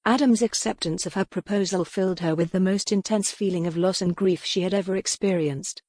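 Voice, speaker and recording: A woman's voice: lowish voice, a little mellow, dry recording. A woman reading scripted historical romance narration as an audiobook narrator, recorded close in a dry space.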